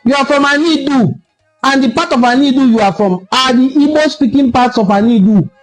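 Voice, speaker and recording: Man, Nigerian accent, high voice, forceful lecturing, faint music underneath. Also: a little fast. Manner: forceful, lecturing, repeats for emphasis. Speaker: online cultural commentator. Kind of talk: impassioned solo commentary video.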